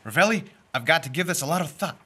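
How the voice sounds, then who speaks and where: A man's voice: fairly high pitch, animated, bright, forceful tone, husky, full character voice. A man playing a glib, wisecracking con-man lawyer in a radio play. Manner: rapid-fire wisecracks, glib. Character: Flywheel, fast-talking wisecracking male con-man lawyer.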